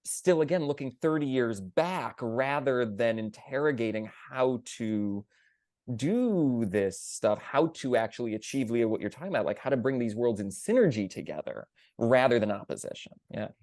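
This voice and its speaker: A man with an average-pitched voice, talking earnestly and emphatically in an informal panel discussion. The sound is dry and close. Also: lively intonation, normal speed, darkish tone, dry recording. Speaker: theatre panelist.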